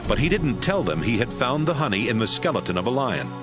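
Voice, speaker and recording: A man narrating a Bible story in a steady, storytelling read. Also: medium pitch, fast talker, over music. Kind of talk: scripted scripture narration.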